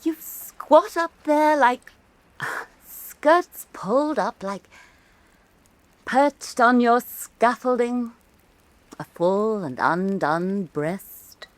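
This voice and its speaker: A woman's voice: fairly high pitch, animated, extremely slow, dry recording. An actress playing an elegant, insinuating woman art critic of Renaissance Venice, arch and mannered, in a theatrical radio drama. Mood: insinuating, sensual. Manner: arch, mannered, lingering, condescending.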